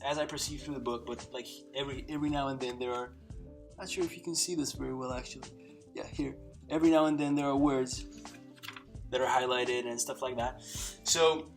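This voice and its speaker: A man's voice: mid-range voice, fairly bright tone. A man whose first language is Slovak, talking casually to camera by his bookshelf, rambling with many fillers and restarts. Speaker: polyglot vlogger. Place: small room by a bookshelf lit by a lamp.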